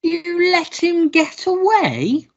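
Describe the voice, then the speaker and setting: A woman playing an overwrought, childish gentleman hero, wailing and halting in a melodramatic radio play. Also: extremely high pitch, big pitch swings, lo-fi sound, exaggerated voice. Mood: wounded, accusing. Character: dazed, hysterical, childish gentleman hero mourning his teddy.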